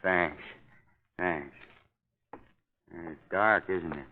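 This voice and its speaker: A man's voice: low voice, very slow, phone-quality sound. A man acting a timid, folksy old man with an American accent in 1930s radio drama style. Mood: fearful.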